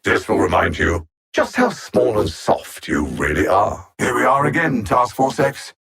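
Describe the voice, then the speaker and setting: A man voicing a cold, arrogant alien machine-mind villain in a video game, speaking in precise, formal phrases with theatrical condescension and a gravelly, husky edge. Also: fairly low pitch, wildly swooping pitch, measured pace, a bit bright, full character voice. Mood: haughty taunting.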